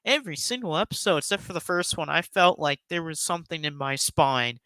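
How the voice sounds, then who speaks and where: A man's voice: a little high, lively intonation, brisk, pushed and bright, clean tone, no echo. A man hosting a casual, enthusiastic countdown commentary, speaking in an emphatic, effusive and rambling way. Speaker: YouTube host giving a top ten countdown.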